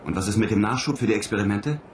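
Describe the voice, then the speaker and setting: A man's voice: on the low side, rapid-fire, a little hiss or hum, natural voice. An actor playing a weary, insistent male astronaut commander in a radio play, speaking tersely. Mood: tense, insistent. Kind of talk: German radio play acting.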